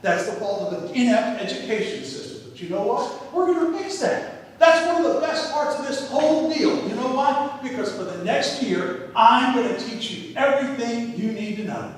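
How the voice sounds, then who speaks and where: A man playing an excitable high-pitched bunker dad. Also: normal speed. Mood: insistent. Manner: salesman-like enthusiasm, repeats phrases, stammers between ideas. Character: eager, excitable, bossy dad showing off his doomsday bunker. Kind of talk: amateur stage comedy acting. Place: indoor theatre stage. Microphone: distant microphone. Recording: echoey theatre stage, distant microphone.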